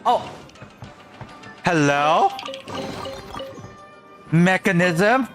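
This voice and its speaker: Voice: mid-range voice, lively intonation, very slow, fairly bright tone. A game streamer giving casual, playful live commentary, reacting dramatically and muttering along. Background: game music.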